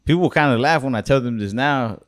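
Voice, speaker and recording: A young man hosting a podcast, talking in a mellow, laid-back way with lots of "bro" and "like". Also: mid-range voice, fairly quick. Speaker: comedian, podcast host. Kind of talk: casual podcast chat.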